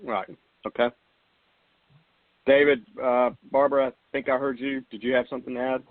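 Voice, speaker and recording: A man with a mid-pitched voice chairs a formal council meeting. His voice comes through a thin, narrow phone line. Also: flat delivery, normal speed, dry recording. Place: conference call. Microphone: telephone.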